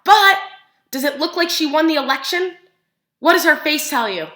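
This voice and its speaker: A woman teacher reads a picture book aloud to preschoolers in an animated, expressive way, using simple phrases and putting questions to her young listeners. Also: sits high, moderate pace. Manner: animated, expressive, simple child-directed phrasing with gasps for effect. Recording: echoey room sound.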